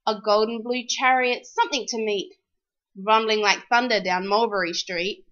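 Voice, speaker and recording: A woman reading a rhyming children's storybook aloud in an expressive, animated, sing-song way. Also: medium pitch, normal speed, highs cut off.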